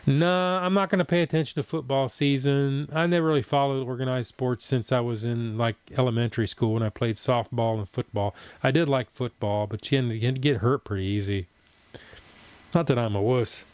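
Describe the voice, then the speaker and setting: A man's voice: mid-range voice, a little fast, darkish tone, clear, resonant tone, no room sound. A man in his sixties from Southern Ohio, chatting casually with viewers on his food channel's live stream and reading their comments aloud as he rambles. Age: 60s. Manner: rambling, chatty, answers chat as he reads.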